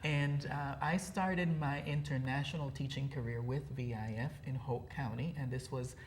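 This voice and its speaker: A middle-aged man from Costa Rica with a slight Costa Rican Spanish accent, explaining things in a measured way with frequent fillers as a guest on a TV interview. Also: medium pitch, steady tone, a little hiss or hum. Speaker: program director guest.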